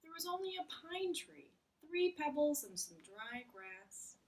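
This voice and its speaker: Woman voicing a kindly, animated storybook frog, high-pitched. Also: slow, recorded from a distance, exaggerated voice. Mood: earnest, eager.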